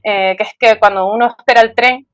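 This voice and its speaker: A woman's voice: fairly high pitch, steady tone, brisk, no echo, faint music behind. A woman guest talking about film, thoughtful and full of fillers and tag questions. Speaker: guest, art historian. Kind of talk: conversational film talk.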